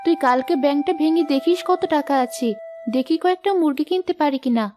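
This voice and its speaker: A woman's voice: high voice, brisk, dark tone, faint music behind, natural voice. A woman playing a poor, loving village mother in a cartoon, plaintive and caring. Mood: careworn, resolute. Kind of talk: Bengali cartoon moral story dialogue.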